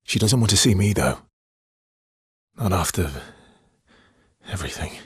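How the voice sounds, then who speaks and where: A sorrowful, guarded young man for a dramatic video game. His voice is deep and heavily husky, recorded close and dry. He speaks softly and earnestly, in halting phrases, gentle yet weary. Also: lively intonation, dry recording, heavily acted. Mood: resigned, subdued. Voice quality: husky, breathy.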